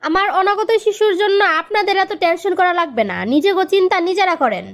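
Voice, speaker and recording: A woman voicing an indignant, sharp-tongued expectant mother in a cartoon, with Bangladeshi speech. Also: very high-pitched, lively intonation, quick pace. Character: feisty, indignant expectant young village mother. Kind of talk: cartoon dialogue.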